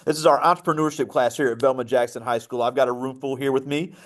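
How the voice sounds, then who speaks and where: A man hosting a conversational interview with a guest, speaking as a teacher in a high school classroom. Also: fairly even intonation, fast talker, a bit bright.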